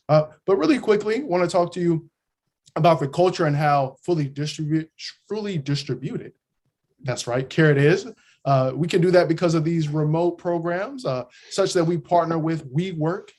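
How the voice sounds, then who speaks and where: A man's voice: a little high, a little mellow. A man presenting about his company on a video call, upbeat and eager, with frequent filler words.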